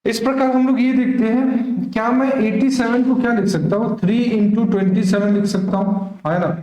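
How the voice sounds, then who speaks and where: A man's voice: high voice, steady tone, normal speed, mellow. A man with an Indian accent teaching an exam-prep math lesson, working through problems and often checking that students follow. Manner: instructive, checks understanding with frequent short questions. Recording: very echoey room.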